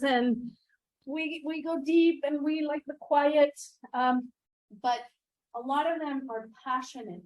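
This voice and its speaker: A middle-aged woman leading a teaching workshop in a classroom, engaging the group with questions and echoing their answers. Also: high-pitched. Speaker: workshop presenter.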